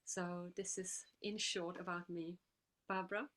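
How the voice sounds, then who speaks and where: A woman's voice: lowish voice, level tone, unhurried, fairly bright tone. A woman from the Czech Republic with a Czech accent, a guest in a casual interview who speaks hesitantly with many fillers.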